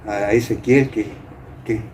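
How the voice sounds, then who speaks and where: An older man with an Argentine accent from Entre Ríos, reminiscing as a guest and often pausing to find his words. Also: lowish voice, steady tone, a little mellow. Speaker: interview guest. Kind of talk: reminiscing in conversation. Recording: clear background noise.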